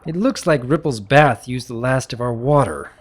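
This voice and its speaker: A man's voice: medium pitch, expressive, moderate pace, a little hiss or hum, pitched above the performer's own voice, close to an everyday voice. A man voicing a young pony baron in a storybook, earnest, well-mannered and slightly awkward. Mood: practical, musing.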